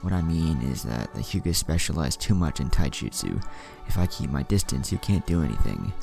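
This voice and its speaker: A man's voice: extremely low pitch, very animated, fairly quick, gravelly, exaggerated voice. A man voicing a brash, cocky teenage girl swordfighter, blunt and challenging, in a story reading. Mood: smug. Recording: music playing behind the voice.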